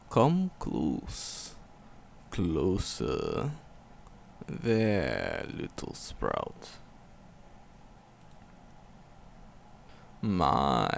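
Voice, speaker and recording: A man voicing an ancient, hulking forest demon, tender and wistful, in a gravelly, poetic, slow-phrased ASMR roleplay. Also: fairly low pitch, slight background noise, exaggerated voice. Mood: tender, coaxing. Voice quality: gravelly, growling creak. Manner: slow, poetic, storybook phrasing with dramatic pauses.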